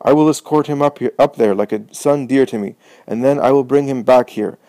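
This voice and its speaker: A man voicing a respected old elder who pleads with a crowd in measured, persuasive oratory full of rhetorical questions. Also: mid-range voice, dark tone, dry recording, pitched above the performer's own voice, close to an everyday voice, rough recording.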